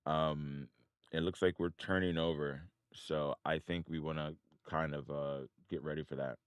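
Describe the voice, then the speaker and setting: A man hosting a trading livestream in a casual, rambling way, his voice a bit hoarse. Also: extremely low pitch, fairly even intonation, measured pace, no echo. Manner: rambling, many restarts and fillers. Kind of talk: casual live-stream talk.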